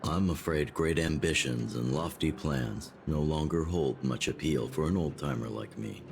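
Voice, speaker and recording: Very deep weary old man. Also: slight background noise. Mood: weary, frank. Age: older. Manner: measured, world-weary, grave. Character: weary, pragmatic old man, town elder. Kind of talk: video game voice acting. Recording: game audio with music behind.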